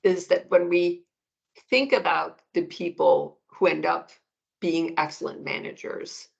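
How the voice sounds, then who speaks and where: A middle-aged woman from Denmark, a professor giving measured answers in an interview, often restarting phrases as she thinks. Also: lowish voice, steady tone, creaky, muffled recording. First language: Danish. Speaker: economics professor, guest.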